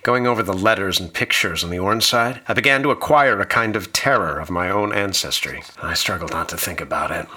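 A man's voice: deep voice, brisk, few pauses, bright, forceful tone, heavily acted. A man acting in a radio drama as a haunted young college student, uneasily recounting horrors. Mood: nervous, subdued. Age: young adult.

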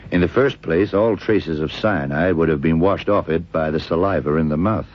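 Deep, husky hard-boiled detective. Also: male voice, normal speed. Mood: dry, satisfied. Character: tough, shrewd male detective exposing a killer. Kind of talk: radio crime drama acting. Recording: dull, lo-fi old radio recording.

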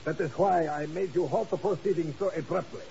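An actor playing a haughty, defiant communist villain with clipped, formal speech in a 1950s radio drama. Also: male voice, a little high, brisk, gravelly, dark tone. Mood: scornful, assured. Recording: muffled, hissy old radio recording.

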